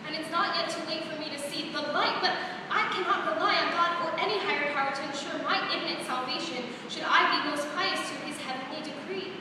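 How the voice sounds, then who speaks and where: Restless female lead, played by an actress, verse stage drama, declamatory, recorded in a reverberant hall with clear noise. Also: sits high, fairly quick, few pauses, close to an everyday voice. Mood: bitter. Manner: theatrical, declamatory, poetic phrasing. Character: restless, dissatisfied woman in a verse dream play. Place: theatre or hall staged reading. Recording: very echoey hall, distant mic, noisy.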